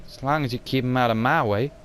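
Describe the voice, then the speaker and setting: A man voicing a blunt, gruff male ship captain who speaks in short, dry sentences. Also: medium pitch, fast talker, fairly bright tone, slight background noise, close to an everyday voice. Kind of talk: amateur motion comic voice acting.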